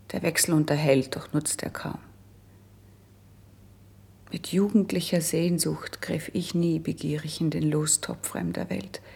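Breathy, melancholy, slow-speaking princess. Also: female voice, on the low side, heavily acted. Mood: sorrowful longing. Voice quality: breathy, husky. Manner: slow, measured, hushed verse delivery. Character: melancholy, resigned young princess, sickly since youth. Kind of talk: classical German verse radio drama acting.

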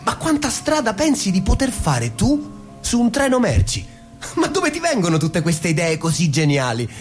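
A man acts out a jeering teenage boy in a radio play, his voice pushed up high. The delivery is mocking and incredulous, drawing out words to needle a classmate. Music is heard behind. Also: huge pitch swings, moderate pace. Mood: mocking, amused. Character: jeering teenage schoolboy, scornful classmate who mocks the dreamer.

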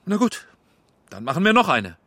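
An actor playing a weary, irritable but courteous film director in a German radio drama. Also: male voice, high-pitched, wildly swooping pitch, normal speed, pushed and bright, dry recording, exaggerated voice. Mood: placating.

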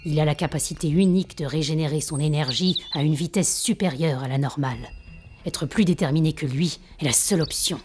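A woman playing a grave, measured mentor of a resistance in an audio drama. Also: deep voice, over music, close to an everyday voice. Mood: solemn. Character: Orion, solemn mentor master of a resistance. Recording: clear background noise of birdsong.

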